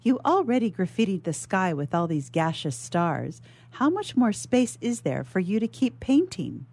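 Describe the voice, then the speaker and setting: A woman's voice: medium pitch, sing-song, normal speed, warm tone, dry recording. A woman reading the part of a fussy, exasperated servant who lectures a lady in formal, insistent words. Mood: exasperated, lecturing.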